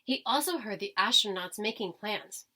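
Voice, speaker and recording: A woman's voice: mid-range voice, animated, normal speed, bright, forceful tone. A woman reading a children's storybook aloud like a teacher, expressively, with dramatic emphasis. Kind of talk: expressive children's storybook read-aloud with short asides to listeners.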